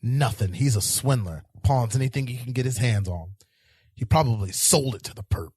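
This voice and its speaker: A man playing a world-weary, secretive police detective in a hard-boiled sci-fi audio drama, terse and deadpan, over faint noise. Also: mid-range voice, moderate pace, husky, no echo. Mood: stern, dismissive.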